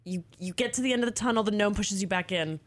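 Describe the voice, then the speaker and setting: A woman's voice: mid-range voice, fast talker. A woman hosting a casual interview, speaking in an encouraging, appreciative way to the guest. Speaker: podcast host, interviewer.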